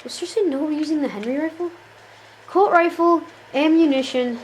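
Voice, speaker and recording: A woman's voice: high-pitched, normal speed, a little hiss or hum, rough recording. A woman giving casual, jokey commentary while playing a shooter game, often exasperated and talking to the characters on screen. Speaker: gamer.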